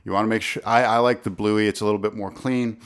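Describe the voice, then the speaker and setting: Deep-voiced man chatting casually into a studio mic. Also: fast, smooth. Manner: relaxed, rambling, frequent restarts and fillers. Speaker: songwriter vlogger. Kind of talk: casual talk to camera. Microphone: studio condenser mic, close.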